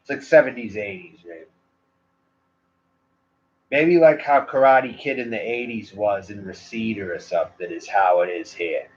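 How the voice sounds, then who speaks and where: A man's voice: fairly low pitch, normal speed. Rambling man with a Maine accent. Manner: meandering, loose, full of "like" and "you know". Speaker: cigar reviewer and livestream host. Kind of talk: rambling casual livestream chat. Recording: dull lo-fi livestream audio.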